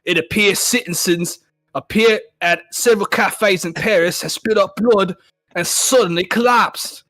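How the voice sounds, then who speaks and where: A man's voice: high voice, normal speed, fairly bright tone, soft background music, voice pushed up. A man playing a solemn, urgent television news anchor reading emergency bulletins from a comic. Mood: grave, urgent.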